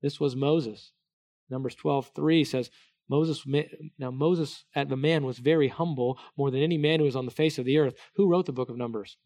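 A middle-aged man preaching a sermon, earnest, dropping to a hush and then rising to emphatic peaks, with many restarts. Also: medium pitch, fairly even intonation, normal speed. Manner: earnest, wide swings between soft hushed and raised emphatic delivery; many restarts and repeated words. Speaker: pastor.

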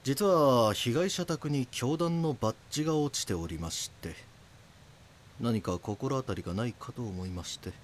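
An actor playing an earnest police detective who narrates his case in a grave, measured way for an audio drama. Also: mid-range voice, animated, a little hiss or hum, lightly acted. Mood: serious, restrained.

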